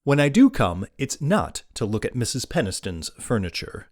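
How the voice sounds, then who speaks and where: An urbane bachelor gentleman for an audiobook drama, wry and polite. His intonation swings very widely as he teases with indolent amusement. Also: mid-range voice, very animated, normal speed. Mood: wry, courteous.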